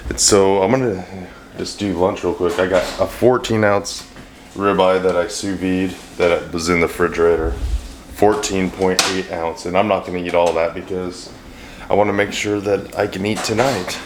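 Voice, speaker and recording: A middle-aged man with a deep, slightly hoarse voice, chatting and joking through a home cooking vlog. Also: steady tone, moderate pace. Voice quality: deep, a little hoarse from a throat bug. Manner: joking, rambling, dry self-deprecating humor. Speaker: vlogger, home cook. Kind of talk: casual cooking vlog chat. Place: home kitchen. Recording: echoey kitchen, faint hiss.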